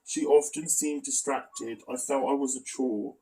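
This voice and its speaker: Male commentator, mid-pitched with noticeable vocal fry, critical and matter-of-fact, reading out evidence. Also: fairly even intonation, a little slow, dark tone, low-quality recording. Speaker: video commentator presenting an exposé.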